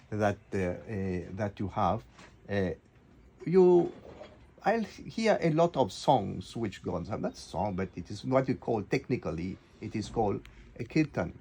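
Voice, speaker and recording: A man hosting an informal radio interview, speaking haltingly and rephrasing as he goes. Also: medium pitch, darkish tone, slight background noise. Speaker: radio host. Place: radio studio.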